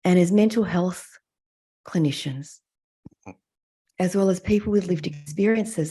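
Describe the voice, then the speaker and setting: Middle-aged woman, Australian accent, soft dark tone, breathy husk, deliberate phrasing, reflective personal talk. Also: lowish voice. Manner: measured, deliberate, pausing between phrases. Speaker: mental health clinician giving a talk.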